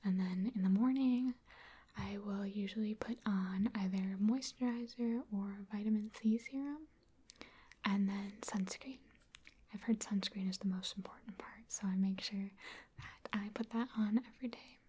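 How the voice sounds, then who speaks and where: A woman from Wisconsin, an ASMR creator, answering viewer questions in a soft, chatty, self-deprecating whisper. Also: mid-range voice, steady tone, moderate pace, slight background noise. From Wisconsin, USA.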